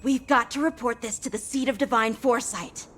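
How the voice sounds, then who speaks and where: A woman's voice: on the high side, a little fast, a bit bright, close to an everyday voice. An actress playing an earnest, alert young female investigator in a video game. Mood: alarmed. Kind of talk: scripted game dialogue. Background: background music.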